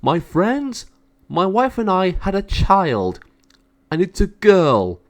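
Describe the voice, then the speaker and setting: A man's voice: high-pitched, huge pitch swings, slow, pitched above the performer's own voice, heavily acted. A man voicing a proud, kindly adult male cat king in a children's storybook, in a stately manner. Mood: proud announcing. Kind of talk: children's storybook read-aloud.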